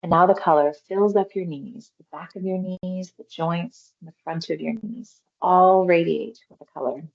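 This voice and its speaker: Casting: woman, low creaky voice, meditation guide, gentle and reassuring, unhurried phrasing, slightly muffled recording. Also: fairly even intonation. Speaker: mindfulness exercise guide. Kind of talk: guided meditation, body scan instruction.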